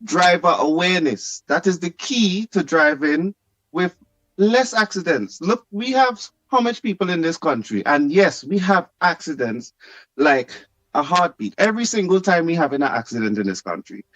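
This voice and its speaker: A man's voice: a little high, lively intonation, moderate pace, fairly bright tone, no echo, low-quality recording. A Trinidadian man calling in to a talk show, laughing often and repeating himself emphatically. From Trinidad and Tobago. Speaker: caller. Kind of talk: animated phone-in rant.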